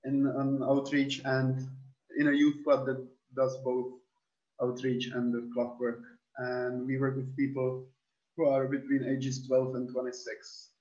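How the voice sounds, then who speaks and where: Slow, flat-voiced Czech man searching for words. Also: mid-range voice, lo-fi sound. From Czech Republic. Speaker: youth outreach worker.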